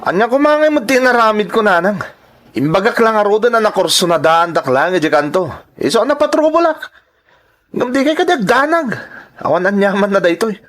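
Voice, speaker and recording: A man acts a cocky, brawling Filipino son in an audio drama, boastful and quick to defend himself. His voice sits high for a male. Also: big pitch swings, fairly bright tone. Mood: defensive, amused.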